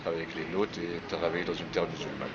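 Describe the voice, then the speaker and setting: Deep-voiced man in street noise. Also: fairly even intonation, racing. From France. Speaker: café-restaurant manager. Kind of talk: street interview. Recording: muffled street recording with loud traffic noise.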